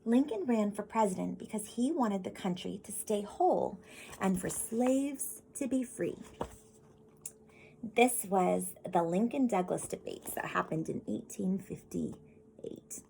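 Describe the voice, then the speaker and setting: A woman's voice: medium pitch, animated, slow pace, creaky, dark tone, a little hiss or hum, poor audio. An American woman reads a picture book aloud as a teacher, explaining simply and putting questions directly to children. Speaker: teacher reading to pupils.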